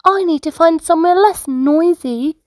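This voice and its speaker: A curious young girl sloth put on by a woman reader in a storybook. Her voice is high and piping, eager and animated, recorded close and dry. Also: normal speed, no room sound. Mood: disappointed. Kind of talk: children's storybook read-aloud.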